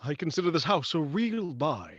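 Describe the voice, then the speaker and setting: A man's voice: a little high, very animated, moderate pace, a bit bright, no room sound. A man playing a stubborn, sceptical and suspicious house buyer in 1940s radio drama style, on a lo-fi recording. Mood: satisfied. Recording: dull lo-fi sound with faint noise.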